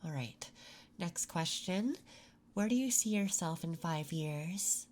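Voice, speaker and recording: A woman playing a curious, attentive interviewer in an unhurried, intimate, soft-spoken ASMR roleplay. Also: lowish voice, expressive, slight background noise. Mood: softly intrigued. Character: curious, attentive female interviewer in a late-night office. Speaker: ASMR performer.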